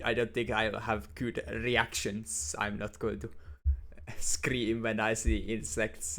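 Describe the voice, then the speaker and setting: A man's voice: on the low side, gravelly, sharp tone. Man musing hesitantly. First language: Finnish. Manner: hesitant, repeats and restarts words, thinks aloud. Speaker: gaming YouTuber. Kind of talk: lore-focused game commentary.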